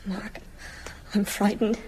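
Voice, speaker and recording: An actress playing a frightened, sensitive young woman with a hushed, fearful delivery in a horror radio drama. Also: mid-range voice, level tone, takes it slowly, slight background noise, natural voice.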